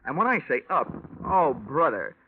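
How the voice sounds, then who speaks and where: An American actor playing a wisecracking, hard-boiled insurance investigator in an old radio drama, wry, slangy and deadpan. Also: male voice, mid-range voice, moderate pace, a little hiss or hum. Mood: brisk, cocky. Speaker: lead actor and narrator. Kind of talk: old-time radio detective acting. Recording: muffled old radio recording, music behind.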